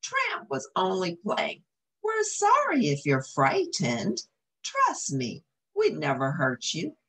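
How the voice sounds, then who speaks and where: A woman voicing a gentle, caring female dog in a storybook, sweet, cooing and pleading. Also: on the low side, wildly swooping pitch, slow pace, slightly rough, pitched above the performer's own voice, heavily acted. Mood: soothing.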